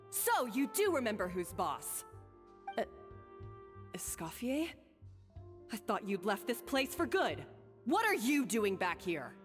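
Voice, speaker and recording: A haughty young head chef in an anime game, quick to temper and confrontational. Her voice is fairly high and swings widely, in a long reverb over music. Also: very animated. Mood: haughty challenge, then cold surprise. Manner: imperious, confrontational.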